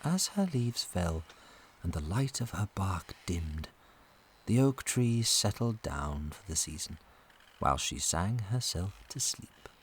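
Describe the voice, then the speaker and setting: Man for family audio-story narration: lowish, animated, expressive, with light ambient noise behind. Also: slow.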